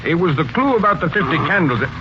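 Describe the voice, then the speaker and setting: An actor playing a brusque, authoritative police sergeant in a 1940s radio mystery. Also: male voice, on the high side, very animated, fast talker. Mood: explaining, assured. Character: stern, commanding male police sergeant investigating murders. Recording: muffled, noisy 1940s radio broadcast.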